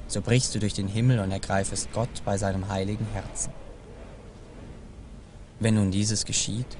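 Low, even-toned man reciting over clear noise. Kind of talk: solemn recitation of archaic philosophical-religious prose.

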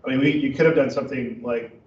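A man's voice: medium pitch, steady tone, fast talker. A man in the audience offering a suggestion on method, talking loosely with fillers. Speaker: audience member giving feedback. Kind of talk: academic discussion. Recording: distant, echoey, dull, faint hiss.

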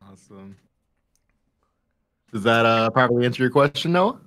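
Casual man moderating a panel, medium pitch. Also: animated, normal speed, fairly bright tone. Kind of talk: casual hosting.

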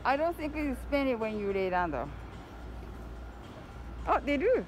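A woman's voice: high-pitched, big pitch swings, slow, background noise. A woman vlog host filming a casual walkthrough of a large furniture store on her phone, chatty and amused, switching to simple English with her son. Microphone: phone camera held by the speaker.

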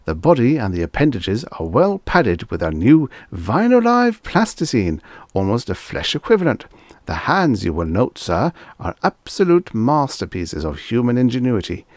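A man's voice: mid-range voice, big pitch swings, normal speed, no room sound, pitched above the performer's own voice, close to an everyday voice. A man voicing a fussy, deferential salesman in an audiobook story, anxious to please and formally wordy. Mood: proud. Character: eager, anxious, fussy salesman clerk, deferential and long-winded.

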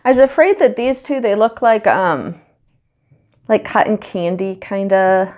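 A woman's voice: mid-range voice, measured pace, highs cut off. A woman with a cold and a stuffy nose, chatting casually with lots of ums while she paints at home. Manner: chatty and rambling, many ums. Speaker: acrylic pour artist. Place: home studio, indoors.

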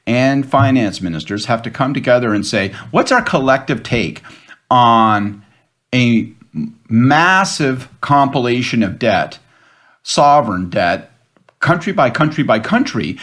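A man's voice: mid-range voice, animated, a little slow, fairly bright tone. A man on a political panel over a video call, talking at length in a thoughtful way with frequent restarts.

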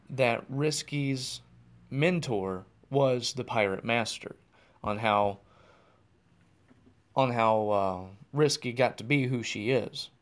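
An American man hosting a podcast alone, chatting casually in a rambling way and often correcting himself mid-sentence, recorded while driving. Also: mid-range voice. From United States. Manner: rambling, restarts and self-corrections. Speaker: podcast host. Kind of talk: casual solo chat. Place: in a car, driving.

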